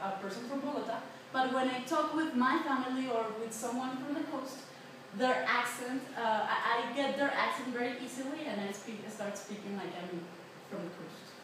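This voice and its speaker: A woman's voice: high-pitched, moderate pace, few pauses. A woman in her twenties from Colombia, a native Spanish speaker with a Colombian accent, giving a chatty class presentation with many fillers and restarts. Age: 20s. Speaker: student presenter. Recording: echoey, noisy room from a distance.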